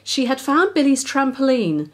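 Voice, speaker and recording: Animated, higher-voiced woman reading a children's story. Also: normal speed. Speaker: author and narrator. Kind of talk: expressive children's story narration.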